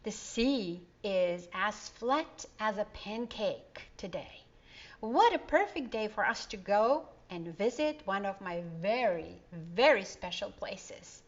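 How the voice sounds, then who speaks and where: A woman's voice: mid-range voice, very animated, takes it slowly, exaggerated voice. A woman voicing a hearty, fond fisherman uncle in a children's story. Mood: fond, cheerful. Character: jolly seafaring uncle, a fisherman. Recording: dull lo-fi recording.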